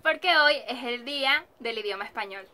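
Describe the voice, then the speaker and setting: Bright high-voiced woman host. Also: fairly quick, slight background noise. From Latin America. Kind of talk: scripted video introduction.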